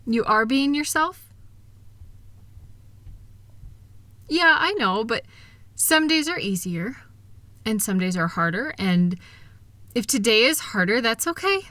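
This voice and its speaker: A woman playing a kind, capable wife who gently teases and reassures a partner in an intimate comfort audio roleplay. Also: fairly high pitch, sing-song, unhurried, no echo, full character voice. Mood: gentle, patient concern. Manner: reassuring, gentle, teasing, affectionate. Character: kind, upbeat, capable wife soothing her insecure partner.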